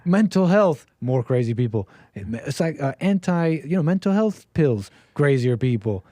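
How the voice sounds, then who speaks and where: A man's voice: a little high, very animated, normal speed, mellow, no echo, clean studio sound. A man hosting a call-in show, talking casually and animatedly, often laughing. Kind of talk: casual live-stream talk.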